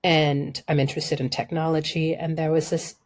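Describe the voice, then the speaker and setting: A woman from Sudan whose first language is Arabic, speaking as a podcast guest in a thoughtful, measured way. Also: low voice, level tone, a little mellow. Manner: thoughtful, measured, reframes questions. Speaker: guest, environmental journalist. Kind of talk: conversational interview.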